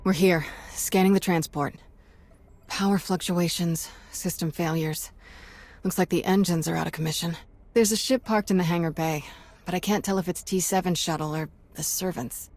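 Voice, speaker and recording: A woman's voice: lowish voice, steady tone, moderate pace, a little mellow. An actress voicing a practical young female space adventurer in a video game, informal and direct. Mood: focused. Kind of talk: video game cutscene acting.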